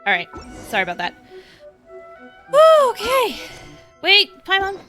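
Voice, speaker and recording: A woman's voice: very high voice, huge pitch swings, unhurried, bright, forceful tone, soft background music. A woman hosting a game livestream, chatting casually and animatedly and sometimes muttering to herself as she plays. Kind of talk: casual livestream chat while playing a game.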